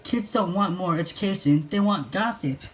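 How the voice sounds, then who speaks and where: A man's voice: sits high, moderate pace, pitched above the performer's own voice, natural voice. A man voicing a smug, mocking schoolgirl rival from a cartoon, taunting merrily in a storybook read-along. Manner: taunting, merry.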